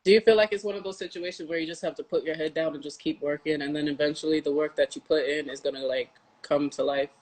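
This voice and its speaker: A woman's voice: low-pitched, steady tone, fast, few pauses, darkish tone, rough recording. An American woman hosting an interview show, talking casually and informally with some slang. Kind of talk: casual chat.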